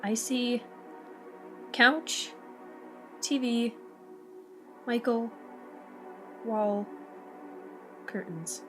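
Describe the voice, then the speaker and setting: A woman's voice: fairly high pitch, steady tone, drawn-out words, pauses often, a bit bright, rough recording. A woman playing an anxious, sarcastic teenage boy who narrates his own story in an amateur comic dub. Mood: shaky, subdued. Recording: amateur old-device recording, music behind. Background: video game music.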